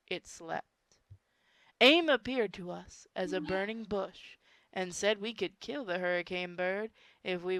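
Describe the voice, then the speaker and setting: A woman's voice: mid-range voice, fairly bright tone, no echo. A woman reading a horror story aloud as an amateur, informal, stumbling over words and breaking off into casual asides. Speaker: story reader.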